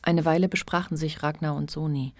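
A woman narrating a literary radio play with measured, deliberate phrasing. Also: sits low, fairly even intonation, a little mellow.

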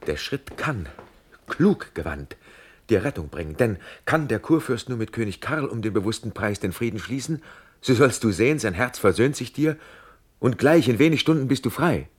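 An actor playing a grave, loyal officer friend in a classical verse radio drama, speaking in an earnest, admonishing manner. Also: male voice, medium pitch, lively intonation, moderate pace, slightly rough. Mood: urgent counsel.

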